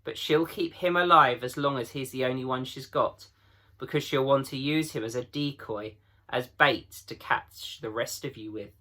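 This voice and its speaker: A man's voice: medium pitch, normal speed, bright, forceful tone. A man voicing a practical, anxious female beaver in a storybook reading, reasoning carefully and with emphasis. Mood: warning.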